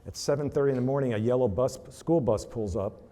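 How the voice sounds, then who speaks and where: A man's voice: medium pitch, quick pace, mellow. A man giving a casual speech at a charity reception, telling stories over slides with fillers. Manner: casual storytelling with fillers, asks for next slide. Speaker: charity event presenter. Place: banquet hall. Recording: reverberant hall.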